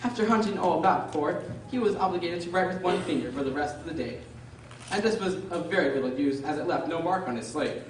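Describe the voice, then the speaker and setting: A woman's voice: fairly low pitch, expressive, quick pace, lightly acted. Very echoey storybook narrator played by a woman. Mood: measured. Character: storybook narrator, composed and measured. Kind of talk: scripted narration. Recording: very echoey room, distant mic, hissy noise.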